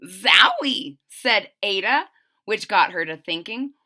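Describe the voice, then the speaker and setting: A woman reading a rhyming children's picture book aloud, pausing for plain-spoken asides that explain the story to young listeners. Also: mid-range voice, lively intonation, bright, forceful tone. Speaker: read-aloud narrator.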